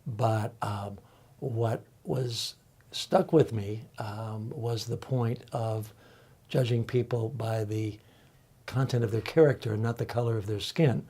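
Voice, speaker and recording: Older man panel guest, mellow tone, reflective and halting, lots of ums. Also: on the low side, steady tone, husky. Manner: thoughtful, halting, many ums and uhs. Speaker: panel guest, college faculty member.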